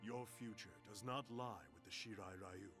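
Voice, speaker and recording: A man's voice: lowish voice, normal speed, over music, lightly acted. A man playing a stern, honorable ninja master in a video game, formal and authoritative. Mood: solemn. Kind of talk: dramatic video game acting.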